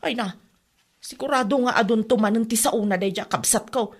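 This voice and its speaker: A woman's voice: fairly high pitch, fairly quick, breathy edge. A woman with an Ilocano accent playing a fretful, nagging wife in a comedy radio drama.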